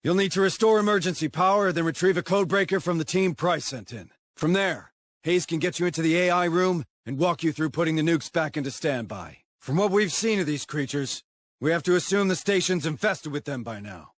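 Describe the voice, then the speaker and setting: An actor playing a grim, wry military commander briefing troops in a video game, in a matter-of-fact way. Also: male voice, a little high, expressive, brisk, dry recording, natural voice. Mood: grave, instructive.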